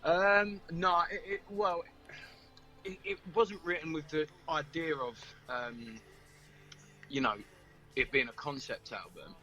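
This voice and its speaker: A man, a band member in a casual interview, talking in a halting, colloquial way. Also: on the high side, lively intonation, bright, forceful tone, poor audio. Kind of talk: casual chat. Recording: music playing behind. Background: background music.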